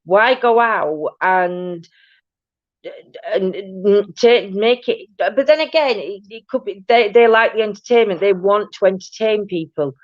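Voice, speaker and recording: A woman hosting a paranormal chat stream, talking casually with a loud, booming voice and many restarts. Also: measured pace, no echo. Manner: rambling, many restarts and "do you know what I mean". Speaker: host of a paranormal chat show. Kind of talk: casual live-stream chat reading viewer comments. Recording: dull, dry low-fi stream audio.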